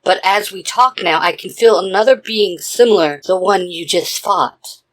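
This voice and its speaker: A man voicing an earnest, gentle mystical woman mentor in an audio story. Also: high voice, moderate pace, voice pushed up, low-quality recording.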